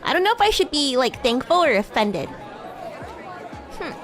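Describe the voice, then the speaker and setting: A woman's voice: high voice, big pitch swings, moderate pace, a bit bright, soft background music, a faint crowd. A woman voicing a bratty, cute demon classmate in an improvised VTuber roleplay, teasing and coy, easily flustered into stammering. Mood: mock offended, teasing. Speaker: VTuber streamer. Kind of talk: improvised VTuber roleplay, casual chat with viewers.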